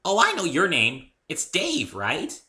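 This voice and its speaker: A man voicing a bubbly, excitable young female cartoon pony with a childlike, eager manner in a story reading. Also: a little high, measured pace, pitched above the performer's own voice, heavily acted. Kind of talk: amateur dramatic story reading.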